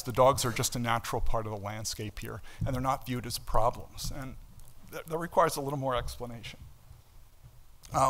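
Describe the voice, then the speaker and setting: An older man delivers a keynote from a lectern. His pitch sits in an ordinary middle range for a man. He explains things conversationally, leaning on key words and tossing out rhetorical questions and asides. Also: fairly bright tone. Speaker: professor giving a keynote lecture. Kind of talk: conference lecture, explanatory and conversational. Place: indoor auditorium stage at a lectern.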